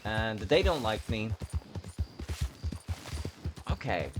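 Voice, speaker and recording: Low voice guy doing a gameplay stream, rambly, makes fun of himself, pitch goes all over. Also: big pitch swings, extremely slow, over music. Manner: rambling, self-mocking. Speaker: video game streamer. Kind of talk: casual playthrough commentary, thinking aloud.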